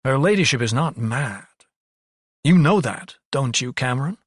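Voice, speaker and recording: A man's voice: medium pitch, lively intonation, moderate pace, husky, dry recording, studio quality. A man voicing an earnest, protective young doctor in an audiobook, urgent, easily agitated and formally polite. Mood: outraged.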